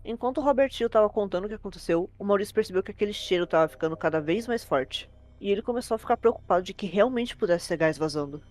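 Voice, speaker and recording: A woman's voice: mid-range voice, animated, fast, a little mellow. A woman with a Brazilian accent narrating a horror tale as host, in an informal, fluent, conversational way. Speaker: host and storyteller.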